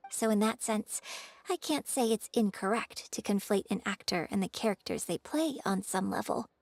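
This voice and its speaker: A woman's voice: medium pitch, moderate pace, vocal fry, no room sound. A woman playing a poised young stage performer in a video game, friendly and playfully dramatic, speaking in a composed, articulate, theatrical way. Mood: reflective, composed.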